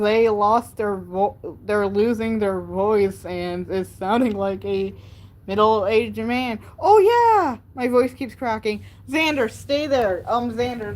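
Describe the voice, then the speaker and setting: A teenage girl chatting casually on her livestream, showing off her handmade crafts in a rambling way, full of "like" and "um". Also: mid-range voice. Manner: rambling, filler-heavy with many "like" and "um"; chatty and talks straight to viewers. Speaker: livestream host.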